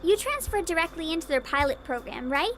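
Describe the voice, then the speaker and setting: An actress playing a cheerful, teasing teenage little sister in an anime-style game. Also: female voice, extremely high pitch, animated, brisk, a bit bright, faint music behind. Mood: excited, supportive. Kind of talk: anime-style visual novel voice acting.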